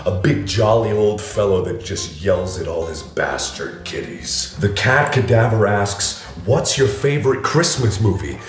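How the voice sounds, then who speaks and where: A man in his twenties hosting a joking vlog, hoarse and gravelly from being sick, talking loosely with loud bursts. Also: fairly low pitch, expressive, a little slow, few pauses, faint music behind. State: hoarse from illness. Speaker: YouTube host. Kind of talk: casual comedic vlog. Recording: echoey room.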